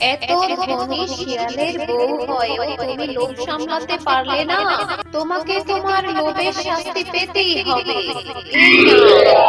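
A woman voicing a stern magical fairy, scolding and commanding, in a children's cartoon. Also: sits high. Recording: music behind the voice.

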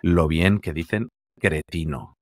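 A man's voice: sits low, slow pace, no echo. A man co-hosting a casual TV series review chat over a video call, talking in an amused, conversational way and often restarting his sentences. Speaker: TV series critic co-host. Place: home room, remote call. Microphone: headset and desk mic over video call.

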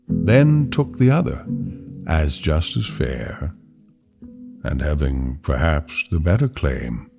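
A man reciting poetry solemnly, in a measured, reflective delivery with deliberate pauses. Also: rumbling low, gravelly. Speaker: poetry reader. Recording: soft guitar music behind voice. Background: plucked guitar music.

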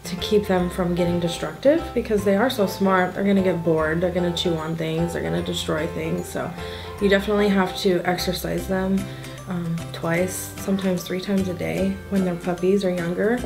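A woman's voice: on the low side, steady tone, normal speed, vocal fry. A woman in her forties, seated at a table indoors, chatting casually in an interview with an upbeat, easy manner and frequent ums. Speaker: event co-founder. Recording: soft music bed underneath.

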